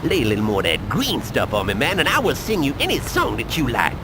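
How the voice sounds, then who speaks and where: A man playing a cocky, jittery street informant in a video game, excitable and full of jive slang. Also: mid-range voice, quick pace, over music. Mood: sly. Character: jittery, cocky male street informant, fast-talking hustler. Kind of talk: video game cutscene acting.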